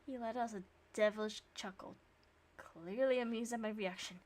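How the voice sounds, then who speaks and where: A woman streamer reading visual novel narration and dialogue aloud, with sarcastic, expressive reactions. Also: on the high side, moderate pace, a little hiss or hum.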